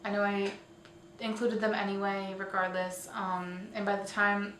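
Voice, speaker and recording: A woman's voice: medium pitch, level tone, moderate pace, a bit bright. A woman hosting a casual online show, talking informally and a little hesitantly as if thinking aloud. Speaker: host of a weekly online show. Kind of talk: casual scripted-outline vlog commentary. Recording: roomy, distant mic, faint noise.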